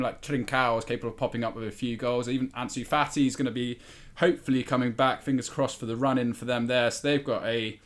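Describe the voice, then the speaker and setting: A man talking football as a podcast panelist, giving his analysis in a conversational, slightly halting way. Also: mid-range voice. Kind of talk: football discussion, casual analysis.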